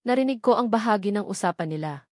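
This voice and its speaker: A woman's voice: medium pitch, expressive, fast, darkish tone, dry recording. Filipino woman narrating a dramatic romance, dry sound. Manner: emotive, with short phrases set apart for drama. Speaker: story narrator. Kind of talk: dramatic first-person scripted narration of a romance story.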